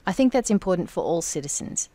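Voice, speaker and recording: A woman interpreting for an interview guest in the first person, speaking in measured, deliberate phrases. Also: lowish voice, sing-song, warm tone. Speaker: sign language interpreter voicing the guest.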